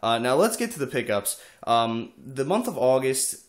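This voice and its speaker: A young man with a stuffy, slightly congested voice from a summer cold chats casually about his latest purchases. His middle-pitched voice runs loose and friendly, full of "uh" fillers. He sits in a slightly echoey room at home, recorded on the camera mic. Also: sing-song, normal speed. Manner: chatty, many "uh" fillers. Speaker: video game collector vlogger. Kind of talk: casual show-and-tell.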